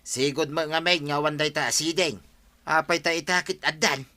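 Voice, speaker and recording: A man's voice: a little high, fairly even intonation, moderate pace, bright, forceful tone. A man acting a skeptical, questioning character in an audio drama, matter-of-fact in manner.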